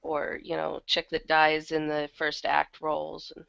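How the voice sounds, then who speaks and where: A woman's voice: sits low, monotone, measured pace, gravelly, fairly bright tone, smooth, no echo, highs cut off. A woman with a slightly worn, thrashed throat, talking casually and candidly as an interview guest who acts in audio drama.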